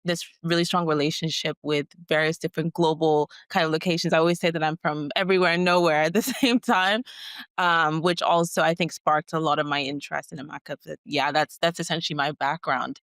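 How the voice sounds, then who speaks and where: A woman who grew up in Egypt, answering as an interview guest in measured, halting phrases. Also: low-pitched, fairly even intonation, a bit bright, no echo, studio quality. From grew up in Cairo, Egypt. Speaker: guest entrepreneur.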